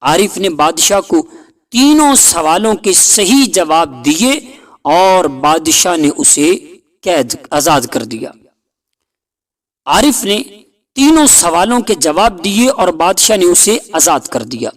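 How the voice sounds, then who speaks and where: A man narrating a scripted folk tale in a deliberate, dramatic storytelling manner. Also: high-pitched, sing-song.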